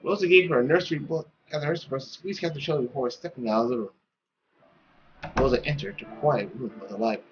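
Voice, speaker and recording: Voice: medium pitch, muffled recording. A playful, theatrical narrator reading a story aloud, stumbling now and then and dropping in joking asides. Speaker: let's play narrator reading a visual novel.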